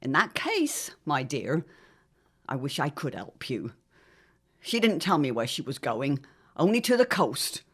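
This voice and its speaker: An arch middle-aged matriarch with witchy airs in a period radio play. Her low voice swoops dramatically and lingers over words, drawn-out and grand. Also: wildly swooping pitch, breathy edge, exaggerated voice. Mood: knowing, arch. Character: theatrical, arch mother playing at being a witch. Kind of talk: period audio drama acting.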